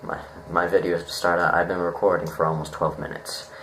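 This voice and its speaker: A man giving a casual, unscripted review, halting and rambling as he thinks aloud. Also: low voice, little pitch movement, fairly bright tone, a little hiss or hum. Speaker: online story reviewer.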